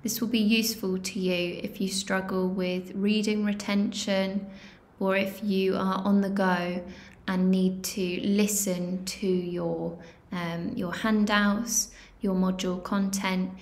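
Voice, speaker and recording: A woman instructor narrating a software tutorial in a measured, step-by-step manner, with pauses. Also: mid-range voice, level tone. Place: indoor screen-recording voice-over.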